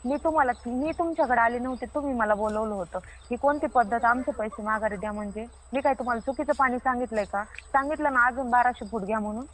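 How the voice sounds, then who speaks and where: Comic phone skit: woman acting a self-assured rural Marathi water diviner, high and quick, argumentative, stubbornly repeating herself. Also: a little hiss or hum, lightly acted, low-quality recording. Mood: defensive, indignant. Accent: Marathi, rural Maharashtra.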